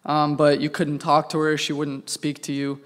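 Young man preaching, clear, in a church hall. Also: fairly high pitch, normal speed. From United States. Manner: relaxed, personal, with frequent filler words. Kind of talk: conversational sermon. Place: church sanctuary. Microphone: lectern microphone. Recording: long hall reverb in a church.

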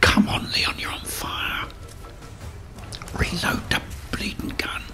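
A middle-aged British man whispering a hushed ASMR commentary as he plays a video game. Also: music in the background. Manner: hushed, slow running commentary.

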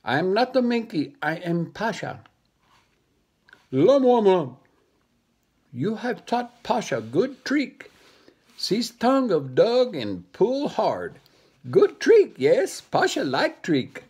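Storybook villain: man doing a pitched-up, gloating monkey in choppy English, gravelly-husky and slow. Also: wildly swooping pitch, a little mellow, heavily acted. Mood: bossy. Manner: broken English, refers to himself by name. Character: sly bossy male monkey captor.

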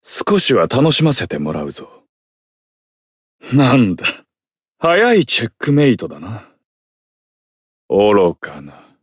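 Menacing male dark god, anime game, smug, condescending, slow husky drawl. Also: on the low side, wildly swooping pitch, no echo, clean studio sound, full character voice. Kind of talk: anime game battle acting.

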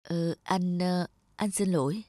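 A man voicing an awkward, apologetic young man in an animated drama, hesitant but trying to be firm. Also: high-pitched, slow, no room sound, clean studio sound. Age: young adult. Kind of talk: animated moral drama dubbing.

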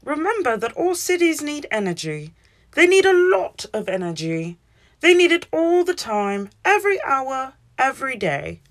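Emphatic, high-pitched lady teacher, as a narrator puts her on. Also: big pitch swings, normal speed. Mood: emphatic, excited. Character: enthusiastic female camp teacher addressing children.

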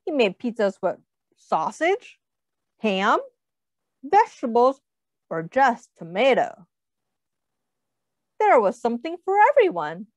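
A woman reading a picture book aloud to young children, expressively, pausing to explain and ask questions. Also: fairly high pitch, big pitch swings, no echo. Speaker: read-aloud host, teacher.

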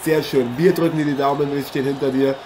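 A man playing an eager, chatty boxing commentator and interviewer in an improvised radio play, polite and halting. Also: mid-range voice, flat delivery, lightly acted. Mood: earnest, curious. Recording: noisy, with arena ambience behind.

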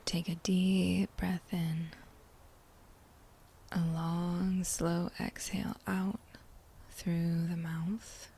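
A woman guiding a meditation in a breathy whisper, gentle and soothing, with long unhurried pauses between phrases. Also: lowish voice, level tone. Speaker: meditation guide. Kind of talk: guided meditation, whispered soft-spoken.

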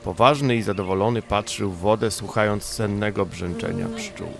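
A man reads a bedtime story as an audiobook narrator. His voice sits fairly low with a distinct creaky grain. Music plays clearly underneath. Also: moderate pace.

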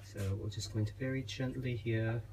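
A young man playing a genteel, affectionate elf king giving a gentle, hesitant, casual cooking demonstration. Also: medium pitch, little pitch movement, normal speed, close to an everyday voice. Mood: gentle, instructive. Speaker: cooking show host. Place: small home kitchen, indoors. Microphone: camera a step or two away. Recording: clearly noisy kitchen recording.

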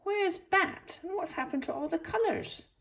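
A woman's voice: very high-pitched, animated, moderate pace, gravelly, voice pushed up, exaggerated voice. A woman voicing anxious, urgent little birds in a picture book. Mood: worried.

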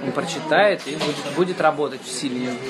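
A man's voice: on the high side, quick pace. A man, a singer streaming live with his band, chatting casually and teasing them at a table indoors. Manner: joking, teasing, playful. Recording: loud crowd noise behind.

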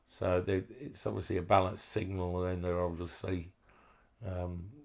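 Low-pitched man, halting hobbyist walkthrough. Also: little pitch movement, creaky. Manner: hesitant, unscripted, halting phrasing. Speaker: amateur radio hobbyist presenting a project. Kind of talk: informal hands-on electronics walkthrough.

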